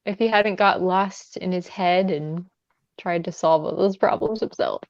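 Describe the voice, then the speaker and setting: A woman's voice: fairly low pitch, unhurried, muffled recording. A woman hosting a casual book discussion in an informal, agreeable manner. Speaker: livestream host of a book channel.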